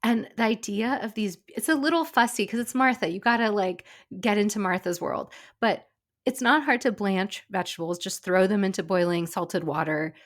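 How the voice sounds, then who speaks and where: Woman, middle-aged, ex-chef co-host; chatty, enthusiastic, mid pitch, slightly stuffy nose. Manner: chatty, enthusiastic, quick asides. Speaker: podcast co-host; former personal chef and caterer. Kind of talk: casual chat.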